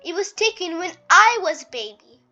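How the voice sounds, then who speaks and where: A woman voicing a lively, insistent young girl sheep in a storybook, speaking emphatically. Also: extremely high pitch, moderate pace, faint music behind, exaggerated voice. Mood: eager, insistent.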